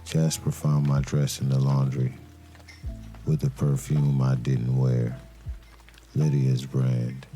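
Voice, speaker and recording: Man voicing noir detective narrator, extremely deep, dark velvety tone, slow grave delivery, terse and suspicious, soft music behind. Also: full character voice. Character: brooding male detective, terse, suspicious and methodical. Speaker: narrator and lead character of an audio crime drama.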